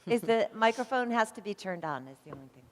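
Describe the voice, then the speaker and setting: A woman's voice: mid-range voice, lively intonation, quick pace. A woman moderating a live event, informally inviting questions from the audience. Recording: echoey hall with long reverb.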